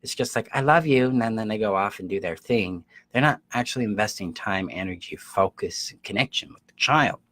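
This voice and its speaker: Low-pitched, husky man coaching. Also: fairly quick, hardly any pauses, fairly bright tone, dry recording. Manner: emphatic, acts out imagined quotes, checks in with listeners. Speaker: self-help coach. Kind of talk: explanatory coaching talk to viewers.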